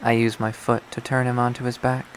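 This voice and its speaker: A man's voice: medium pitch, monotone, fairly quick, slight background noise, close to an everyday voice. A man voicing a jaded, unhinged young woman artist in a bitter, profane, rambling monologue from a visual novel. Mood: cold, menacing. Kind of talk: dramatic visual novel readthrough.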